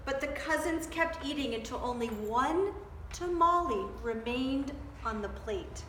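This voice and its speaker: A woman reading a children's storybook aloud in an animated, dramatic way, pausing to put questions to her young listeners. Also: sits high. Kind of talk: expressive children's storybook read-aloud with asides. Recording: roomy echo, background noise.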